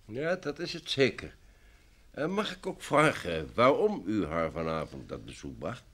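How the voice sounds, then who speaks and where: A man's voice: on the low side, huge pitch swings, measured pace, a bit bright, heavily acted. An actor playing a polite, deferential and slightly hesitant man in an old Dutch radio play. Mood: polite, doubtful.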